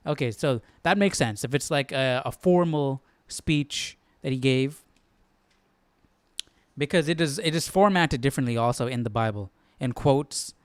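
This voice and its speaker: A man's voice: medium pitch, normal speed, clear, resonant tone, dry recording. A man hosting a live Bible study, chatting informally and thinking aloud. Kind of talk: casual livestream chat.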